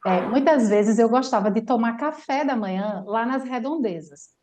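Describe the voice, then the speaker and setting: A woman with a Brazilian Portuguese accent, a language teacher telling a lively travel story in casual chat, full of fillers. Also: a little high, moderate pace. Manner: animated storyteller, chatty with many fillers.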